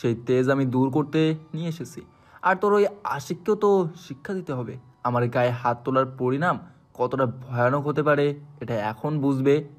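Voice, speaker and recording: A man's voice: medium pitch, normal speed, close to an everyday voice. A man playing a vengeful young villain abductor in an audio story, taunting and threatening, with music behind him. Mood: menacing.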